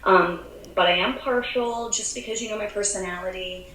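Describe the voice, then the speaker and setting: A woman's voice: mid-range voice, normal speed, fairly bright tone. An American woman chats about travel in a loose, laughing way, often restarting her sentences. Her voice echoes around the room, with a faint hiss of background noise behind her. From United States. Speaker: travel advisor, podcast guest. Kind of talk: casual podcast chat.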